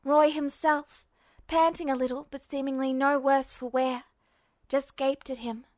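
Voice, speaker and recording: A woman's voice: sits high, level tone, unhurried, no echo. A woman reading scripted fiction as an audiobook-style narrator. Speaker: podfic narrator.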